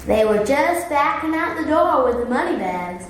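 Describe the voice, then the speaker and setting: A woman's voice: sits high, big pitch swings, moderate pace. A young girl, a student reader, narrating a scripted story. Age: child. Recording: very echoey room, faint noise.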